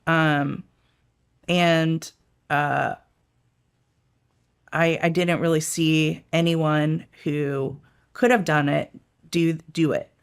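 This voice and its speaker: A woman's voice: low-pitched, steady tone, extremely slow, pauses often, smooth. A middle-aged woman, a former intelligence analyst, speaking casually in an interview, with many fillers and restarts. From United States, raised in Washington DC area. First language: Italian.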